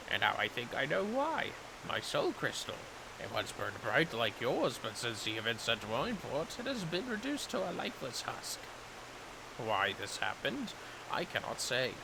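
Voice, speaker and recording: Man playing a solemn, burdened fantasy warrior, expressive delivery. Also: medium pitch, vocal fry, noisy background. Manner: grave, formal, archaic phrasing. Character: solemn, honorable warrior burdened by guilt and dread. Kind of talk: dramatic read-aloud of fantasy game dialogue. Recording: prominent music behind the voice.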